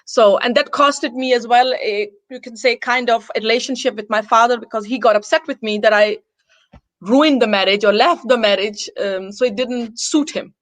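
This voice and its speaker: Higher-voiced woman from Denmark. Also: moderate pace, no echo. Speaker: interview guest. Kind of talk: personal account in casual interview.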